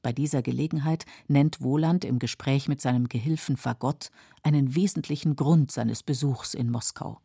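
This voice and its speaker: A woman narrating a scripted literary documentary in a measured, explanatory way, with a husky, creaky voice. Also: very deep voice, dark tone, no echo, studio quality. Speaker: narrator of literary radio feature.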